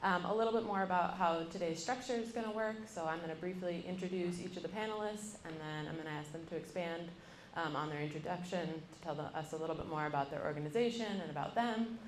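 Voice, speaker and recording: A woman's voice: lowish voice, fast, hardly any pauses, slight background noise. A young woman moderating a university panel, introducing each speaker with frequent ums. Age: young adult. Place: lecture room. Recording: roomy, mic from a distance.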